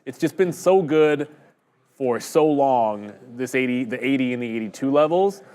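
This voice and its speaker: A man's voice: medium pitch, animated, normal speed, a little mellow. A man hosting a live stock-trading stream, giving rambling, conversational, self-deprecating market commentary. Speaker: day-trading stream host.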